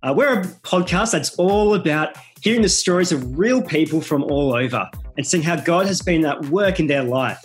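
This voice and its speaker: A man's voice: a little high, lively intonation, moderate pace, few pauses, faint music behind. A young Australian man hosting a podcast interview, chatting in a casual, jokey, matey way.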